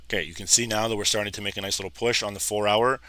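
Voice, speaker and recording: A man in his thirties, a little hoarse, casually talking viewers through live trading charts and calling them "guys". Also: lowish voice, steady tone, racing, sharp tone, no echo. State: slightly hoarse, losing his voice. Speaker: trading educator. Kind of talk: live trading screen commentary.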